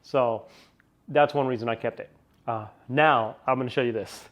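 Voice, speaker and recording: A man chatting through a casual car-parts unboxing, excitable and rambling, still a little sniffly from a cold. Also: medium pitch, moderate pace, lo-fi sound. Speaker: car vlogger.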